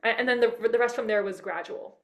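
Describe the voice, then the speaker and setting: A woman's voice: a little high, fast. Chatty woman, roomy echo. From Manhattan, New York. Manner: eager, rambling, many fillers and restarts. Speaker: interview guest. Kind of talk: casual personal storytelling.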